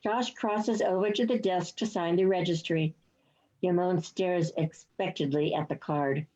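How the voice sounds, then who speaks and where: A woman's voice: lowish voice, steady tone, a little slow, mellow. A woman reading scripted stage directions as the narrator of a play reading. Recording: dull, lo-fi online recording.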